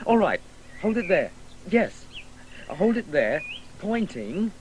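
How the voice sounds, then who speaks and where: Pedantic, haughty boy, animated and high. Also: slow, slight background noise, heavily acted, rough recording. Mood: bossy, eager. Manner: pedantic, condescending explaining. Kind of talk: 1980s radio drama acting.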